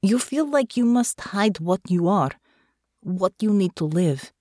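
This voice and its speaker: Audiobook role: gentle young farm woman, voiced by a female narrator, earnest, careful with each word, close dry sound. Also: medium pitch, expressive, no echo. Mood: calm, probing. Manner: soft, careful, deliberate, formal phrasing. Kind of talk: audiobook character dialogue.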